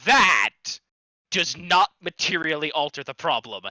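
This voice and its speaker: A man's voice: a little high, expressive, unhurried, bright, forceful tone, dry recording. A man co-hosting a fanfiction-reading stream, teasing and exasperated in casual banter.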